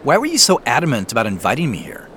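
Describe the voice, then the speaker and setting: A man's voice: medium pitch, huge pitch swings, fast, a bit bright, slight background noise. An actor playing a hesitant, skeptical man who asks questions in an understated way, in audio drama dialogue. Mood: puzzled, curious. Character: hesitant, skeptical man, a reluctant newcomer tagging along.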